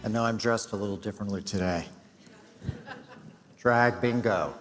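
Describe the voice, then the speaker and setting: A middle-aged man, hoarse and losing his voice, giving host remarks and thanks at a conference with a self-deprecating, filler-heavy manner. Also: fairly low pitch, normal speed, music in the background. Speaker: executive director. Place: large conference hall. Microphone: stage mic. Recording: long hall reverb.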